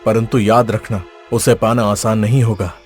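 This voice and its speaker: A man voicing a stern, wise holy man in an animated fairy tale, solemn, commanding and instructive. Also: fairly low pitch, moderate pace, soft background music, close to an everyday voice. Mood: solemn counsel.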